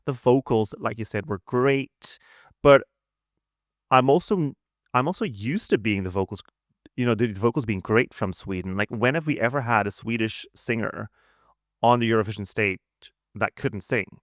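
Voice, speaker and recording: A man's voice: on the low side, moderate pace, dry recording, clean studio sound. A man co-hosting a podcast, giving his views in casual conversation. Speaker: podcast co-host. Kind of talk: casual opinionated chat.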